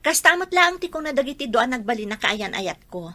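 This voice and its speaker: An actress with an Ilocano accent playing a stubborn, heartbroken young daughter in a radio drama, speaking firmly and emotively. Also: female voice, fairly high pitch, sing-song, brisk, bright, forceful tone, dry recording, exaggerated voice. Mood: firm, resentful.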